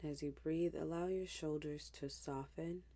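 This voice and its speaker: Woman, meditation guide, very slow pace, creaky low edge, hushed, gentle, soothing scripture-based narration. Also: fairly even intonation. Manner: hushed, gentle, unhurried, with pauses.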